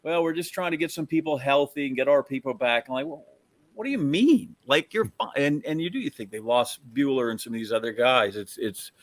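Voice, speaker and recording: A man's voice: mid-range voice, a little fast, no echo. A middle-aged American man hosting casual sports talk, anecdotal and opinionated in his questions. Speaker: sports talk host, interviewer.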